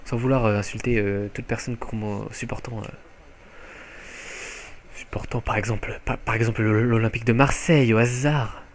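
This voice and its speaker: A young man with a French accent giving live commentary on his own online match from home, excitable and jokey, swearing often. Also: medium pitch, moderate pace. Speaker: gaming commentator. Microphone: headset mic.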